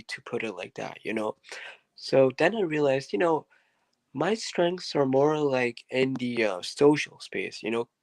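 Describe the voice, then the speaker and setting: A man's voice: medium pitch, dry recording. A man, a podcast guest, telling a story in a casual, rambling way with many fillers and asides.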